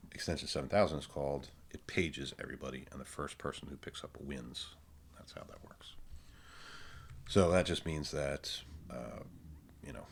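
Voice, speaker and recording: A man giving a casual, unscripted technical walkthrough, thinking aloud in an informal, halting way. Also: a bass voice, vocal fry, slight background noise. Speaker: presenter of a technical tutorial.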